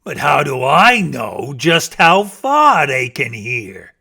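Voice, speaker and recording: Big bragging storybook bear, played by a male reader: scornful, growly, forceful, with wide swoops in pitch. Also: sing-song, gravelly, slightly rough, heavily acted. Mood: sneering, doubtful. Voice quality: growling, snorting. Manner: bragging, emphatic, drawn-out words. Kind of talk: theatrical children's storybook reading.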